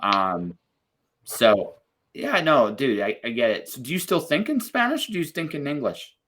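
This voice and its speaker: A man hosting a podcast interview over a video call, upbeat and encouraging, talking in quick bursts. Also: medium pitch, sing-song, fairly bright tone, breathy edge. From Canada. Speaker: podcast host. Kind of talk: casual interview chat.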